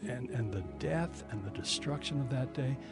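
An older American man speaking reflectively and conversationally in an interview, often halting and repeating words. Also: medium pitch, expressive. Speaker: radio news veteran interviewee. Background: music under the voice.

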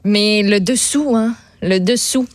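A woman's voice: medium pitch, takes it slowly, dry recording. A woman with a Québécois accent co-hosting a radio show, telling stories in a casual, colloquial, self-deprecating way. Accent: Québécois French. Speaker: radio co-host.

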